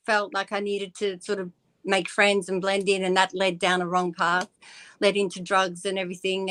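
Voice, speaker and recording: Brief: woman, monotone delivery, hesitant, video call. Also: medium pitch, smooth. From Scotland. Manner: halting, many pauses and uhs. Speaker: guest.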